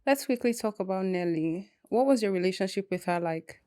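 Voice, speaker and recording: A woman interviewer asking prepared questions in a steady, scripted manner. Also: mid-range voice, a little fast, smooth, no echo, studio quality.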